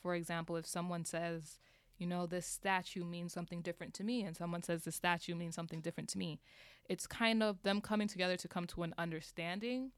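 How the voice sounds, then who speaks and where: A woman's voice: fairly low pitch, steady tone, fast talker, clean tone, no echo. A woman thinking aloud in a casual group discussion, hesitant and thoughtful. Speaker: discussion participant.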